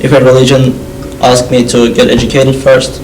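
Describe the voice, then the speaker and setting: A man's voice: mid-range voice, monotone, normal speed, a little mellow, echoey room, slight background noise. A male student whose first language is Turkish, speaking earnestly in class with non-native phrasing. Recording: distant mic.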